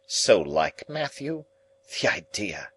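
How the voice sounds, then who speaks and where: A man voicing a fussy, self-pitying older woman relative in an audiobook reading, with a shrill, affected, exclaiming manner. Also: big pitch swings, slow, a bit bright, slightly rough, exaggerated voice. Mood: indignant. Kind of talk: audiobook character voice.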